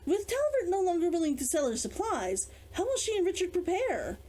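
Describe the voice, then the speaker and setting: A woman author hosting a read-along, chatting in an excitable, giggly way. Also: very high voice, a little fast. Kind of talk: chatty intro and story reading.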